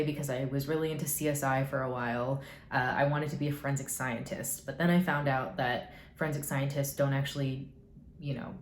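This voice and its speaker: A woman's voice: low voice, darkish tone. A young woman rambling through get-to-know-me questions in a casual vlog, full of hedges and dry, sarcastic asides. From United States. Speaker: YouTuber. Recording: echoey room, faint noise.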